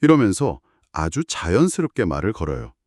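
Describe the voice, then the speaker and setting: A man's voice: low-pitched, big pitch swings, brisk, a little mellow, no room sound, studio quality. A man retelling a listener's story on a radio-book show, acting out the quoted lines as he goes.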